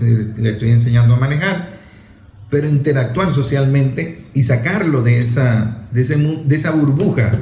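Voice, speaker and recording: A man's voice: mid-range voice, mellow, a little hiss or hum. Earnest man, echoey room. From Venezuela. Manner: emphatic, repeats and lists for effect. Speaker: father and author speaking on a talk show. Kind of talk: earnest conversational commentary.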